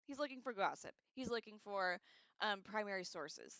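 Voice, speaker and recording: A woman hosting a livestream, casually reading aloud and breaking off into irreverent, sarcastic, slangy commentary in an expressive way. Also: medium pitch, normal speed, clean tone, no echo, dull audio. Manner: expressive, animated asides. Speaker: livestream host.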